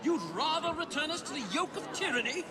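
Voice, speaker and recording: An actor with an English accent playing a fiery, sarcastic Victorian opposition politician orating in a video game. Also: male voice, extremely high pitch, brisk. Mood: sarcastic outrage. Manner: sarcastic, oratorical. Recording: loud crowd and music behind.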